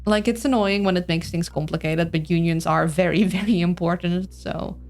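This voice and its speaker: A woman's voice: on the low side, fairly even intonation, moderate pace, a little mellow. A woman with a Dutch accent giving casual gameplay commentary, rambling and thinking aloud. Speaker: game streamer. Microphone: streaming mic with voice filters. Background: game music.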